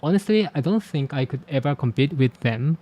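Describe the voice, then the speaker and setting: A man's voice: fairly high pitch, expressive, mellow, muffled recording. Candid man. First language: Japanese. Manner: candid, self-deprecating, measured. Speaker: language teacher and channel host. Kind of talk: reflective talk to camera about learning languages.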